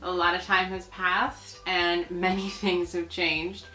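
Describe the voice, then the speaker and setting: Woman chatting and reading stuff out, slow pace, echoey room, faint music behind. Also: fairly low pitch, flat delivery, pushed and bright. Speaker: video host. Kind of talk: casual chat while reading aloud from old newspapers.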